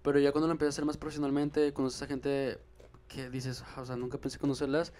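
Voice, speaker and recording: A man's voice: medium pitch, monotone, fast talker, darkish tone, a little hiss or hum. A young man, a musician guest in a casual podcast chat, easygoing with hesitant fillers, on a handheld mic in a small room. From Mexico. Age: young adult. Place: small room, seated on a couch. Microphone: handheld microphone.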